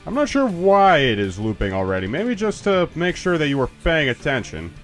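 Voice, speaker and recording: A man's voice: fairly high pitch, big pitch swings, brisk, fairly bright tone, soft background music. A man casually chatting and joking as a commentator while a game's credits roll. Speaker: let's play commentator. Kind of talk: casual game commentary chat.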